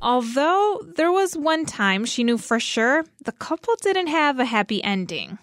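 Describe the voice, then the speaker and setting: A woman host narrates a public radio feature with a bright, storytelling delivery. Her fairly high voice swings up and down. It is recorded dry and close, with soft music underneath. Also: very animated, unhurried, no room sound.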